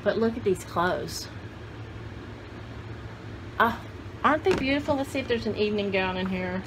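Older female vlogger, 60s, mid-pitched voice, rambling casual chat, recorded at home with clear background noise. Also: expressive, moderate pace. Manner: chatty, rambling, thinking aloud. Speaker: vlogger showing vintage paper dolls. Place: indoor room at home with cluttered shelves. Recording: clearly noisy home video sound.

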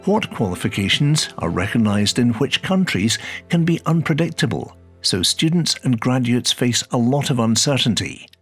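A man giving measured, scripted documentary narration. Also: medium pitch, breathy edge. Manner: measured, even delivery. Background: music.

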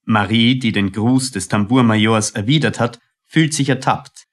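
A man's voice: mid-range voice, normal speed. Male narrator for a radio drama, mid-range voice, scripted storytelling delivery.

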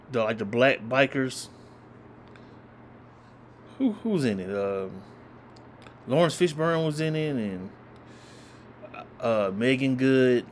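Young male reaction host, easygoing slang-heavy delivery, webcam in a small room, faint room hum. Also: medium pitch. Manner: relaxed, rambling, uses slang. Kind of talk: casual chat.

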